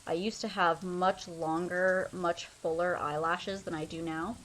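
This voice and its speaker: A woman's voice: on the low side, steady tone, measured pace, slight background noise, rough recording. A young woman streaming a game, chatting casually in a loose, rambling way full of fillers. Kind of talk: casual chat while playing a game.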